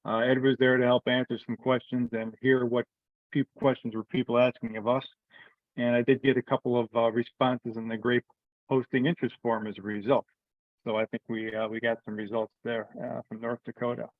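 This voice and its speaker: A man's voice: medium pitch, little pitch movement, fairly quick, no echo. Man on a tinny, phone-like line. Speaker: committee member giving a report. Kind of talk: informal meeting update. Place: remote teleconference. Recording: phone-like, narrow radio-style sound.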